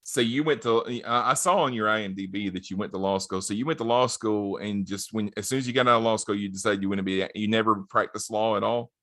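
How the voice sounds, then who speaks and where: Podcast host guy, lowish voice, dry close mic, lots of okays and yeahs. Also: fast talker, hardly any pauses, bright, forceful tone, no room sound. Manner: friendly interviewer with many short acknowledgements. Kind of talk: casual podcast interview.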